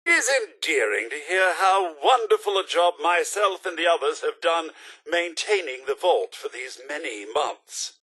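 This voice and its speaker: A prim robot butler from a video game, speaks in plummy, theatrical British tones. The voice sits high and leaps wildly up and down with a bright, piercing edge. Every phrase is fussy, courteous and exclamatory. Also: male voice, a little slow, husky, exaggerated voice. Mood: polite, wistful. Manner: plummy, over-polite, exclamatory, highly animated. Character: chipper, prim, fussy male robot butler with weary politeness.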